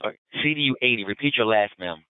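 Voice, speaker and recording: Male police dispatcher, brisk and procedural, clean-toned voice through a narrow, muffled two-way radio. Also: on the low side, expressive, smooth, dry recording. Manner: procedural, clipped call-and-response. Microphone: radio transmitter. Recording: muffled, band-limited police radio transmission.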